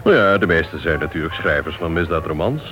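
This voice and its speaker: An actor playing a chatty, genial club member in a Dutch radio play. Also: male voice, extremely low pitch, expressive, fast talker, music in the background. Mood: genial, teasing. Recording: dull old radio recording.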